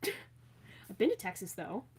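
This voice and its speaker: A woman's voice: mid-range voice, sing-song, slow pace, darkish tone, slight background noise. A woman from Maryland chatting casually on a live stream, reading viewer comments aloud in a playful, chatty way. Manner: chatty and playful, with many fillers and asides.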